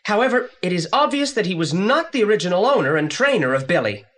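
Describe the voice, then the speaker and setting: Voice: medium pitch, very animated, fast, a bit bright. An actor playing a brainy, self-assured boy detective in a 1980s audio play, speaking formally and precisely. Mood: inquisitive, analytical. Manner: pompous, precise, formal wording.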